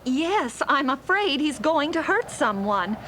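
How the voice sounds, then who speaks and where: A woman's voice: sits high, sing-song, slow pace, fairly bright tone, slight background noise, full character voice. A woman playing a worried, polite ski lodge manager in a children's read-along story. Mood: distressed, pleading. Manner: fretful, pleading. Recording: faint music on old storybook cassette.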